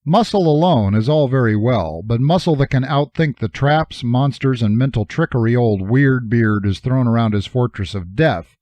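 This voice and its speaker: A man's voice: medium pitch, moderate pace, few pauses, mellow, dry recording, highs cut off. A man reading a first-person novel as an audiobook narrator, with a wry, dryly humorous delivery.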